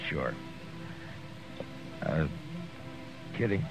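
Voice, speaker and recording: Deep-voiced grim American frontier marshal. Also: male voice, big pitch swings, very slow, gravelly, heavily acted. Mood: grim, restrained. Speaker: lead actor and narrator. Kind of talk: 1950s radio Western acting. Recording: muffled 1950s radio recording with music.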